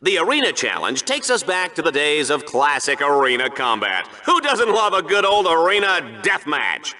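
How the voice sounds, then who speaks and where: Brash video game arena commentator, high pitched, very bright piercing tone, hammy, punchy, smarmy showman. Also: sing-song, normal speed, heavily acted. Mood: hyped showmanship. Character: brash, smarmy, showboating male arena combat TV announcer. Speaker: sports show announcer. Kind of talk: over-the-top game show sports commentary.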